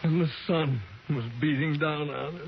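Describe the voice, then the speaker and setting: Wounded narrator, urgent, dramatic, vintage radio play, muffled lo-fi sound. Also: male voice, fairly high pitch, fairly even intonation, unhurried, slight background noise. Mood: tense. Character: shaken, wounded grown man, intense storyteller. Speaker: first-person narrator. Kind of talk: 1940s radio acting. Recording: dull old radio recording with loud music.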